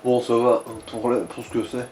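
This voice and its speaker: A man's voice: mid-range voice, monotone, normal speed, mellow. A man giving casual advice and comments beside a player, in crude slang. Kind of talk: casual gaming chat. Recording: faint background noise.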